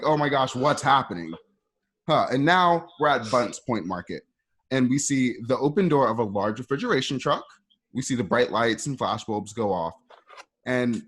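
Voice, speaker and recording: A man's voice: medium pitch, fairly bright tone, slightly rough. A man informally narrating stage directions for a radio play in a conversational, ad-libbing way.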